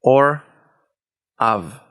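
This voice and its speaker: A man who is a native Hebrew speaker, teaching a language lesson step by step, deliberately and with key words repeated. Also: medium pitch, lively intonation, a bit bright, clear, resonant tone. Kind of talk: instructional lesson, explaining step by step.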